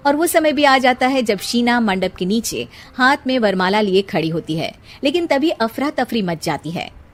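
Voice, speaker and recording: Female storyteller, slightly high pitch, scripted narration for family cartoon drama. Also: animated, moderate pace.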